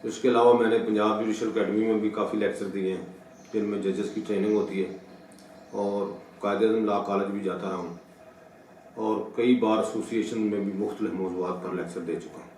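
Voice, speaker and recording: A middle-aged man gives a formal, deliberate law lecture with halting, repetitive phrasing. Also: fairly low pitch, fairly even intonation, darkish tone, slight background noise. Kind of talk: lecture for an online channel. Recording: very echoey room, distant microphone.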